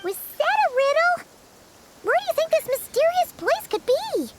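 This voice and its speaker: A woman voicing a tiny chirpy fairy girl companion in a video game, with a squeaky childlike voice and an excitable manner. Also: normal speed, noisy background. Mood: curious. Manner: excitable, exclaiming. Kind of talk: animated video game voice acting. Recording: loud music behind. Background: music.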